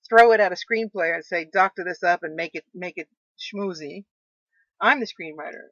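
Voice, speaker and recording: A woman guest talking about her screenplay and novel in an enthusiastic, animated way. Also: on the low side, moderate pace, no echo. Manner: enthusiastic, talks with frequent restarts. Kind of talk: animated interview conversation. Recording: dull lo-fi dry sound.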